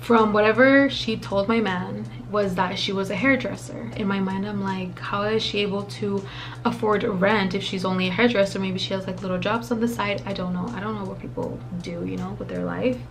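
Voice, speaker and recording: A young woman in her twenties telling a story to her camera in a casual, rambling way. She is profane, leans on lots of fillers, drops in Spanish phrases, and her voice is a little raspy from a dry mouth. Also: mid-range voice, fairly even intonation, a little fast. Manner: chatty, profane, rambling with many fillers and asides; mixes in occasional Spanish phrases. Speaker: vlogger.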